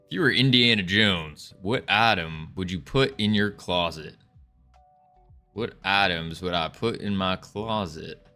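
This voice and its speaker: Young guy on a livestream, bright voice a bit low, goofy put-on southern accent, folksy cowboy talk, some music in back. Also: measured pace. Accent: put-on American Southern. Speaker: live streamer chatting with viewers. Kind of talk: casual livestream chat.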